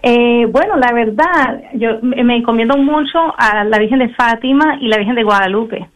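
A woman's voice: fairly high pitch, normal speed, no echo, rough recording. Polite young woman, slight Colombian accent. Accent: Colombian Antioquia. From United States. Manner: polite, warm, devout. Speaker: guest singer. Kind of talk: remote radio interview.

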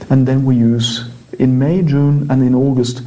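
Norwegian-accented priest, mellow voice. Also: male voice, medium pitch, little pitch movement, a little slow, rough recording. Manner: chatty, repeats words, laughs easily. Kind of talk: casual interview explanation.

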